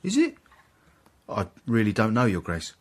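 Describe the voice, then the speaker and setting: A man's voice: lowish voice, wildly swooping pitch, moderate pace, no echo. An actor playing a wry, deferential English footman and secret author, narrating and acting in a radio comedy-drama. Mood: nervously deferential.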